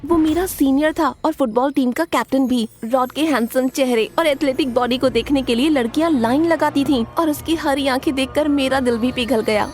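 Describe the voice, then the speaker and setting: A woman voicing a guarded, feisty teenage schoolgirl who tells her story expressively in an animated story. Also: high-pitched, normal speed, hardly any pauses, soft background music, lightly acted. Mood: narrating, confiding. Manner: expressive, dramatic. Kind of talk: animated story narration with acted dialogue.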